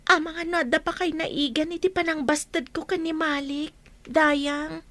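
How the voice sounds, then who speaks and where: An anxious young daughter in a radio drama. Her voice sits extremely high and earnest. The sound is dry, with no room around it. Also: fairly even intonation, normal speed, a bit bright, no echo. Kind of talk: Ilocano radio drama acting.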